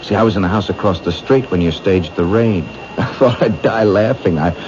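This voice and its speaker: A man's voice: normal speed, natural voice. A man playing a menacing vengeful killer. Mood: gloating. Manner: low, menacing commands building to bitter outbursts. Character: vengeful male serial killer, bitter and menacing. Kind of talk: 1970s radio drama acting. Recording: dull lo-fi vintage radio broadcast with hiss.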